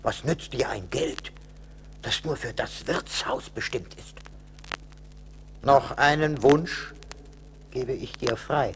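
Wise old gnome-like spirit, scolding, unhurried, faintly noisy old record. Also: male voice, medium pitch. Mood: reproachful. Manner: admonishing, slow, deliberate. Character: stern little old glass-forest spirit, scolding, wise. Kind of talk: 1980s German radio play acting. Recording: noisy vintage LP radio play.

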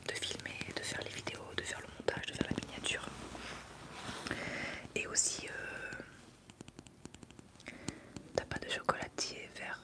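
A young woman, whispering casually into a close desk mic during an ASMR livestream, rambling and answering chat. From France. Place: small bedroom studio indoors. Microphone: large desk mic up close.

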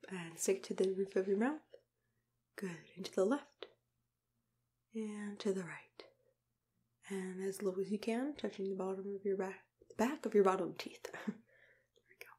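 A young woman in a soft, unhurried whisper, playing a gentle, reassuring dentist in an ASMR roleplay, close to the mic. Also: medium pitch. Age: young adult. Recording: clear background noise and room ambience.

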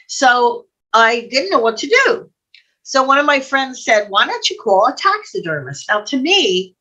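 A woman's voice: medium pitch, bright, forceful tone, husky. An older woman telling anecdotes casually on a video call, chatty and digressive. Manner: chatty, digressive, quotes people as she tells stories. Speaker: guest storyteller. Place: home room, indoors.

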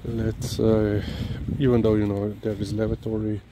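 A man talking haltingly on a dog walk outdoors, filling pauses with "you know" and "um". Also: fairly low pitch, little pitch movement. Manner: halting, many fillers and restarts. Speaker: dog-walking vlogger. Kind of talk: casual walk-and-talk monologue. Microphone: camera held low, walking. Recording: outdoor noise behind the voice.

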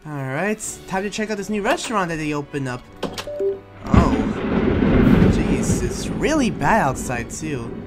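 A man's voice: on the high side, expressive, unhurried, over loud music. A man speaking casually as a gaming host, improvising a roleplay as he plays.

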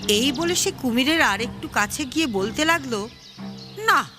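A woman's voice: high-pitched, lively intonation, normal speed. A woman narrating a children's story expressively, with music behind her. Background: background music.

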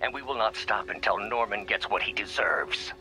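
Casting: man as menacing male game villain, righteous fury, animated intonation, score underneath. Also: fairly low pitch, normal speed, over music, close to an everyday voice, rough recording. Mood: defiant. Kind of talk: video game villain acting.

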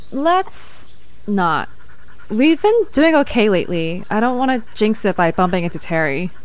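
A woman's voice: medium pitch, sing-song, a little slow, smooth. A woman playing an upbeat, wry young woman in an audio drama. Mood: wry, curious.

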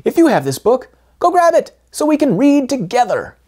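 A man in his forties hosting a children's storytime, reading aloud in an expressive, deliberate, sing-song way. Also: extremely high pitch, dry recording, clean studio sound. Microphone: lapel microphone.

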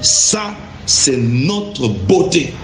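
A man telling a religious testimony in deliberate, emphatic, halting phrases. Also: mid-range voice, big pitch swings, faint music behind. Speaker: guest giving a religious testimony. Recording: echoey room with faint background noise.

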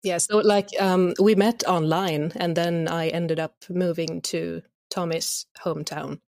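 A woman with a slightly low voice talks casually as an interview guest. Her manner is informal, and the sound is close and dry. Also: steady tone, measured pace, vocal fry, a little mellow, dry recording. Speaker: guest, audio drama writer and voice actor.

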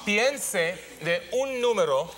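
An Israeli-accented man in his thirties, a native Hebrew speaker, performing as a guest mentalist with halting, broken, repetitive patter. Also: high voice, lively intonation. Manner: halting, broken speech with repeated words. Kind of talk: unscripted stage patter on a talk show. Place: television studio. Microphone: lapel mic. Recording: faint background studio noise.

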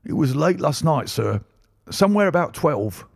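A man's voice: on the high side, sing-song, moderate pace, gravelly, breathy edge, dry recording, clean studio sound, pitched above the performer's own voice, heavily acted. A man voicing an agitated, deferential butler gasping out shocking news in an audiobook story. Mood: agitated, shocked.

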